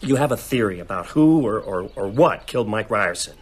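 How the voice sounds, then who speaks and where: An actor playing a skeptical, rattled man in a radio drama, arguing haltingly and with disbelief. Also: fairly low pitch, lively intonation, slight background noise, natural voice.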